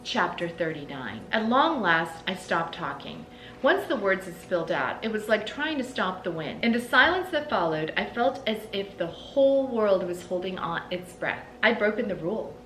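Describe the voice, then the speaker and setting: A middle-aged woman reading a storybook aloud expressively, with deliberate phrasing and dramatic emphasis. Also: big pitch swings, a bit bright. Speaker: read-aloud host. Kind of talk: storybook read-aloud narration. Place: indoors in a small room lined with bookshelves. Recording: roomy echo, clear background rumble.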